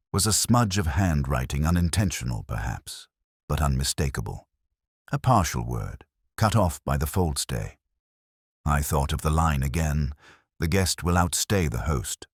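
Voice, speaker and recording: A man narrating a first-person detective story in a measured, literary, reflective way. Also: extremely low pitch, slightly rough, no echo, clean studio sound.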